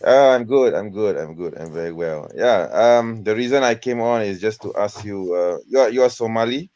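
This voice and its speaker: Low-voiced Malaysian man on a dull call. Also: moderate pace, darkish tone. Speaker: caller. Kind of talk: casual questioning.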